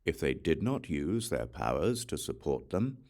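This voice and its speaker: A man reading the part of a calm, wise male spiritual teacher who explains a teaching in measured phrases. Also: very deep voice, vocal fry, exaggerated voice. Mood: solemn.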